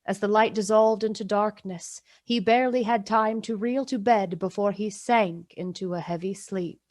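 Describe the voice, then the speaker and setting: Medium-pitched female storybook narrator. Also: steady tone, normal speed, darkish tone.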